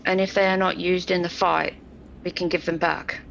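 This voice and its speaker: A woman talks casually during a tabletop game in a creaky voice. The recording is a bit muffled, with faint noise behind her. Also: fairly low pitch, little pitch movement, normal speed, a bit bright.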